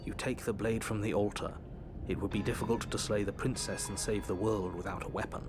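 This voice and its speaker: An actor playing a prim, scolding British game narrator who speaks in a dry, precise way. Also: male voice, lowish voice, fairly even intonation, brisk, close to an everyday voice. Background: game music.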